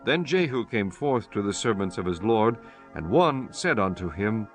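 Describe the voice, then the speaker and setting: A man narrating a dramatized scripture audiobook in a measured, solemn way. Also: fairly low pitch, very animated. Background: music.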